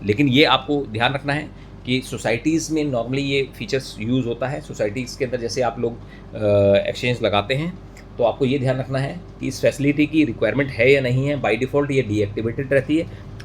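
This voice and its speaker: A man's voice: mid-range voice, normal speed. Indian man explaining, with noisy background. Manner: mixes English technical terms into speech, repeats and rephrases. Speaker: YouTube tutorial presenter. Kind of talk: step-by-step technical tutorial, explanatory. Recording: clear background noise, room ambience.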